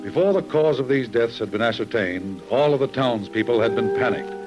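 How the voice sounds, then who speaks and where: A male 1950s radio narrator with a medium-pitched voice. He tells a crime story seriously and formally, with music clearly behind him. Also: expressive, fairly quick, low-quality recording. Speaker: magazine editor, narrator host. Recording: vintage radio recording with music.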